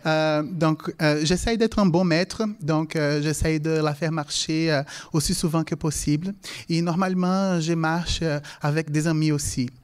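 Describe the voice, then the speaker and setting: Higher-voiced man, native Portuguese speaker, lecturing haltingly. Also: steady tone, smooth. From Brazil. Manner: halting, hesitant phrasing with frequent self-corrections. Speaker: language lecturer and conference speaker. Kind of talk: public talk with personal anecdotes.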